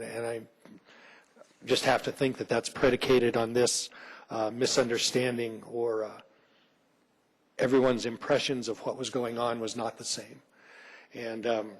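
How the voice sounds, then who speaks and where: A man with a rough, hoarse voice from laryngitis, an attorney making a formal argument before a licensing board, with many fillers. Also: medium pitch, poor audio. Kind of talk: formal legal argument at a hearing. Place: city licensing hearing room.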